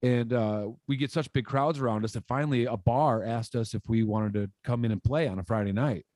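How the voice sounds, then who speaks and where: A man in his thirties, a musician from Michigan, telling stories in a casual interview, rambling and animated, speaking into a studio mic at home. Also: mid-range voice, a little fast, dry recording. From Michigan, USA. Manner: animated, rambling, lots of "like" fillers and gestures. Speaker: guest musician. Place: home room, indoors. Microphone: studio mic on boom arm, video call.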